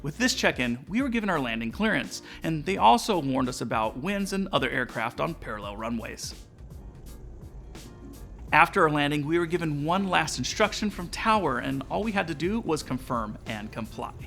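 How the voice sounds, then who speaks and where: Lively man presenting a tutorial. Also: fairly high pitch, normal speed, vocal fry. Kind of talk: scripted explainer narration. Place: small indoor studio set. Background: background music.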